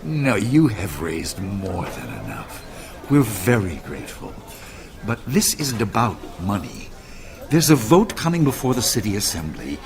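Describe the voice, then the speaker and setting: An actor playing an earnest, courteous school leader in an audio drama, formal, measured and gravely persuasive. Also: male voice, on the low side, sing-song, crowd noise. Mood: grave, urgent. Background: music.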